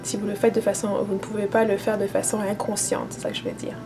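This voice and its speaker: A woman's voice: mid-range voice, level tone, fast, noisy background. A woman vlogger talking casually to camera as a spiritual teacher, hesitant, with many fillers and restarts.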